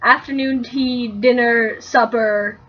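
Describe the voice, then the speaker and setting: A woman's voice: fairly high pitch, fairly even intonation, slow, highs cut off. A woman co-hosting a fan fiction reading, moving between story narration and casual, joking chat.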